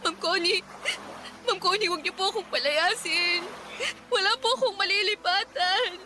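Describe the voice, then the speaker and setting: Very high-voiced, pleading poor girl. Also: moderate pace, background noise. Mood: tearful, pleading. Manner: pleading, tearful, deferential. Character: humble, pleading poor young woman hiding her love. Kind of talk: Filipino radio drama acting. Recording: music bed under dialogue.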